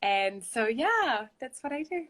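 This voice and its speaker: A woman's voice: a little high, sing-song, measured pace, fairly bright tone. A woman with a New Zealand accent, chatting as a guest on a video call, upbeat and chatty. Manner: upbeat, chatty, uses "like" a lot. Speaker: guest, spiritual guide. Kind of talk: casual chat on a video call. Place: outdoors in a hammock.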